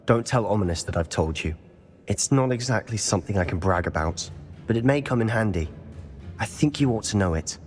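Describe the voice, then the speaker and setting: Casting: a man as a loyal, scheming British schoolboy wizard for a fantasy game; lowish voice, earnest, conspiratorial delivery over music. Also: lively intonation, brisk, lightly acted. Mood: earnest, persuasive. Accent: British English. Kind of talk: video game voice acting.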